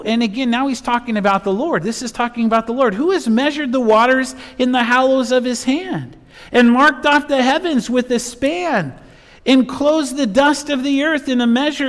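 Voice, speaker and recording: A middle-aged man preaches a verse-by-verse sermon. His voice sits very high for a man, and he delivers scripture and rhetorical points emphatically, in short phrases. The church hall adds a long reverb. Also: normal speed, a bit bright. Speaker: pastor. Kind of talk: expository sermon with Bible reading. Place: church sanctuary, at a pulpit.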